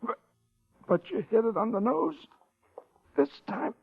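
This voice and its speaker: An actor playing a gasping, fading man in a 1940s radio drama, speaking in halting, raspy, whispery phrases. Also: very high-pitched, animated, no echo, soft background music, heavily acted. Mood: weak, grudging. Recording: tinny vintage radio recording.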